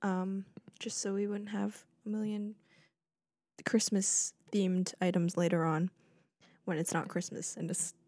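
A woman co-hosting a casual podcast, with a soft voice and a teasing, giggly manner. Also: medium pitch, flat delivery, measured pace, no room sound, clean studio sound. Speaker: podcast co-host. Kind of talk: casual chat.

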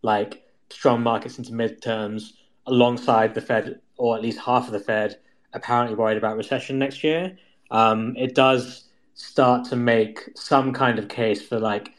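A man with a medium-pitched voice talks through market analysis casually. He explains step by step in a conversational style, often qualifying what he says and searching for his wording. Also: steady tone, unhurried. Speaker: market commentator guest.

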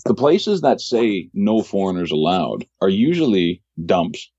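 A man, a podcast guest who works as a real estate agent, telling stories of his work in a measured way and often repeating words as he finds his phrasing. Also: low-pitched, big pitch swings, warm tone, dull audio, slight background noise. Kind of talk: casual interview storytelling.

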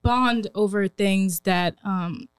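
A woman's voice: mid-range voice, takes it slowly, smooth, dry recording. A young woman in her twenties hosting a casual podcast, talking in an earnest, reflective way with many fillers. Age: 20s. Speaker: podcast host.

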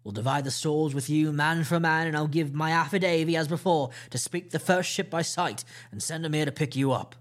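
A cunning old one-legged pirate, put on by a male narrator blusters in a rough seafaring dialect. The voice sits higher and louder than a plain reading voice, bargaining and threatening by turns in a storybook reading. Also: brisk, few pauses, lightly acted. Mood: wheedling. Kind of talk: audiobook character voice.

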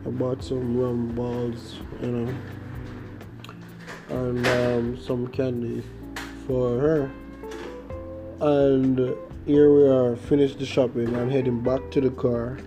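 A man's voice: medium pitch, flat delivery, takes it slowly, pauses often. A young Jamaican man with a Jamaican accent, hosting his own podcast and telling a story in a rambling, hesitant way. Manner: rambling, hesitant, many fillers and restarts. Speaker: podcast host. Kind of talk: casual storytelling monologue. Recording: guitar music playing behind.

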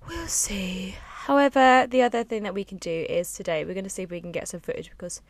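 A young woman talks through a casual solo podcast, wandering from one thought to the next with sighs and fillers. Her voice sits in an ordinary middle pitch but sounds low and slightly husky today. The recording is dry and close, with no room sound. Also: fairly quick, no room sound. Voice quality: low and a bit husky after a late night. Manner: rambling, chatty, with sighs and frequent ums. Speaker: podcast host. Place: on a boat, small space.

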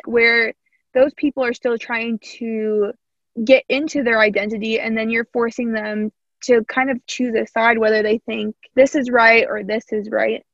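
A woman's voice: on the high side, no room sound, muffled recording. A young woman from Indiana with a slight Southern American accent, hosting a casual interview in a thoughtful, rambling way. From Indiana, USA.

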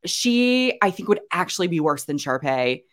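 A woman's voice: lowish voice, very animated, normal speed. A young woman co-hosting a casual podcast chat, talking loosely with many fillers and restarts. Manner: chatty, lots of fillers and restarts. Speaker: podcast co-host.

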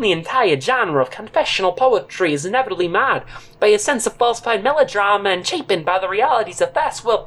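A man's voice: on the high side, voice pushed up. A man playing an irritable, world-weary fired worker in an audio drama, speaking in a sardonic, long-winded, emphatic way. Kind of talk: first-person audio drama narration and dialogue.

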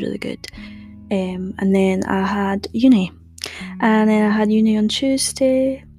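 A young woman hosting a podcast, chatting casually in a soft, calming voice and rambling with lots of fillers. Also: medium pitch. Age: young adult. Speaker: podcast host.

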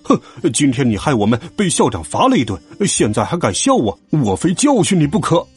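A hulking young bully boss from a children's audio story, acted out by a man. He blusters and threatens, short-tempered and full of himself, with big swings in pitch. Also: big pitch swings, normal speed, darkish tone, husky, faint music behind. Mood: angry, menacing. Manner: blustering, threatening, scornful laugh.

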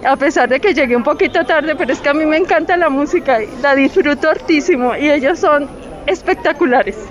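A woman's voice: sits high, a little fast. A woman, an audience member at an outdoor concert, speaking enthusiastically. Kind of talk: street vox pop.

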